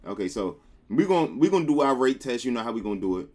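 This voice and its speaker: A man's voice: medium pitch, sing-song, quick pace, a little mellow. A man hosting a reaction video at home, chatting casually with playful slang.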